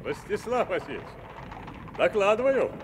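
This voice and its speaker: An actor playing a loyal, earthy Cossack servant with folksy, deferential speech in a radio play. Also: male voice, sits high, very animated, normal speed. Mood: hearty, respectful. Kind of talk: Soviet radio play acting. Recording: noisy vintage Soviet radio drama recording.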